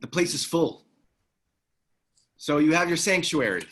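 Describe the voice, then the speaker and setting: A man's voice: fairly high pitch, animated, a little slow, a bit bright. A man playing a terse, guarded ex-military operative in a screenplay table read. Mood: matter-of-fact.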